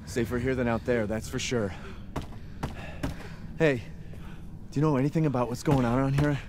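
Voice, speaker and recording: An actor playing a tense, plain-spoken outsider trying to survive, blunt and urgent in manner. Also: male voice, mid-range voice, moderate pace, noisy background, natural voice. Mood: dry, guarded.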